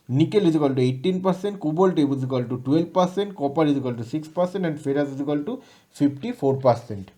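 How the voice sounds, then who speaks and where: Higher-voiced Indian man giving a lecture. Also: mellow. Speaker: lecturer presenting an electronics lesson. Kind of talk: scripted educational presentation.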